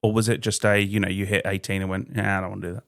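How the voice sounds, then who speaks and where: A man's voice: lowish voice, level tone, rapid-fire, vocal fry, fairly bright tone. A man hosting a podcast, interviewing a guest in a jokey, teasing way. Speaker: podcast host. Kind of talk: casual interviewing.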